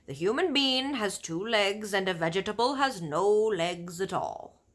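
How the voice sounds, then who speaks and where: A woman's voice: mid-range voice, animated. A woman voicing a huge, boisterous giant in a children's storybook, declaiming emphatically with mangled folksy grammar. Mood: boastful, explaining. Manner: loud, emphatic, drawn-out declaiming. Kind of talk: children's storybook read-aloud.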